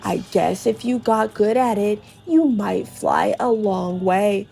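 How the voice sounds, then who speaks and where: Lady doing an evil gleeful monster from a game chest, taunting, low creaky slow drawl, cackly, music behind. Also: mellow, full character voice. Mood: grudging explaining. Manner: taunting, gloating, drawn-out cackles and chortles. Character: gleeful evil cursed creature from a chest, mocking trickster. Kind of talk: dramatic video game dialogue reading.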